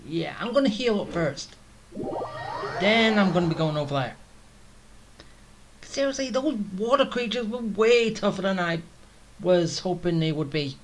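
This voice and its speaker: A man's voice: high-pitched, lively intonation, measured pace, long pauses, low-quality recording. A man giving casual gameplay commentary as a let's play host, thinking aloud informally. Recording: game music under commentary.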